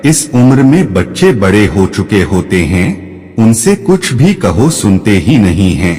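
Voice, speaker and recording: A man narrating a devotional fable in a measured, solemn way. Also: fairly low pitch, sing-song, warm tone. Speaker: storyteller. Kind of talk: slow devotional story narration. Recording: long hall reverb.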